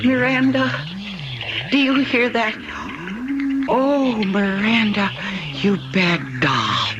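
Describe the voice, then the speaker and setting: An actress playing a disturbed woman who talks like a little girl, slow and singsong, in a radio drama on a noisy, muffled old recording. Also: medium pitch, close to an everyday voice. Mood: childlike, plaintive. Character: disturbed woman regressed to a childlike girl with doll.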